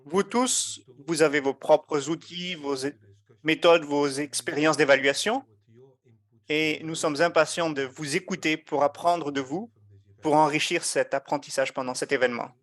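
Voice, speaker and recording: Slightly high-voiced male webinar interpreter. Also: fairly even intonation, fairly bright tone. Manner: measured, with frequent hesitations. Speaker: simultaneous interpreter.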